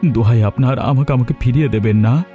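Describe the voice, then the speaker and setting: A man's voice: mid-range voice, brisk, warm tone, soft background music, lightly acted. A man voicing a poor, humble boatman father in a cartoon, speaking with tender, earnest emotion. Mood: humble pleading. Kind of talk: cartoon voice acting.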